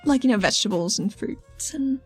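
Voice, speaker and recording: Woman as a tabletop gamer, slightly high-pitched, conversational and offhand improvised roleplay with music underneath. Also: lively intonation, brisk, a little mellow, slightly rough, dry recording. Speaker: tabletop game player. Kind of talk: casual improvised roleplay chat. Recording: music behind the voice.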